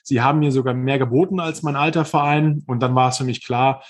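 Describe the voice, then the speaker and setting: Husky-voiced man telling his story. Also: mid-range voice, fairly even intonation, fast talker. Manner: long rambling sentences with frequent hesitations. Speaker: guest, former pro football player. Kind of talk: reflective interview storytelling.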